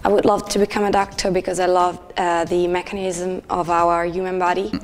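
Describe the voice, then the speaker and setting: A nineteen-year-old woman student, speaking haltingly with many fillers in a testimonial interview on a lapel mic. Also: fairly low pitch, flat delivery. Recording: reverberant hall with light music.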